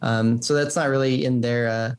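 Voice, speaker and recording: Medium-pitched man explaining things informally. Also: steady tone, moderate pace. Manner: loose, exploratory phrasing with many "like"s. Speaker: game developer being interviewed. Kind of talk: conversational interview answers.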